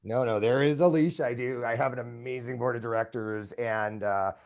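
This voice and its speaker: A man who is a guest on a video-call interview, hoarse today, talking passionately and often repeating his words. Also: mid-range voice, moderate pace. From Canada. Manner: passionate, energetic, repeats himself. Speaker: guest, association president. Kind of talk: casual interview chat.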